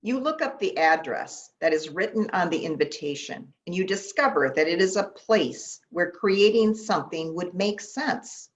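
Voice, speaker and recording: A woman pastor leading a guided meditation in an unhurried, gentle manner with long pauses, speaking in short instructions. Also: lowish voice, expressive. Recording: dull, lo-fi sound.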